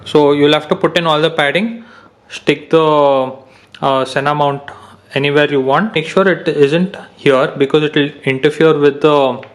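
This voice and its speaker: A young man explaining an unboxing and install step by step, with many pauses and fillers. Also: a little high, fairly even intonation. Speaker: motorcycle gear reviewer. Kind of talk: casual product unboxing and how-to.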